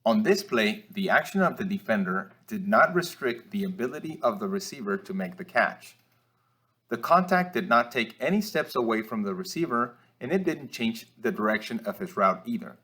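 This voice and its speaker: A man narrates a sports training film review as an instructor, explaining in a measured, slightly hesitant way. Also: on the low side, animated, fairly bright tone. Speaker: football officiating instructor.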